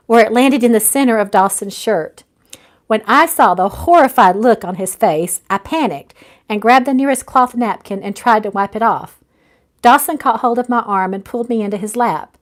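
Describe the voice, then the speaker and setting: A woman's voice: fairly high pitch, lightly acted. Woman reading as a weary, heartbroken businesswoman. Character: weary, heartbroken businesswoman, guarded about lost love.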